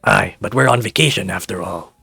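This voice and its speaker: A man voicing a big, gruff, good-natured dragon boyfriend with a blunt, laid-back way of talking, in a visual novel. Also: on the low side, fairly quick, pushed and bright, no room sound, soft background music, pitched below the performer's own voice, full character voice. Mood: relaxed. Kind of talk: visual novel character acting.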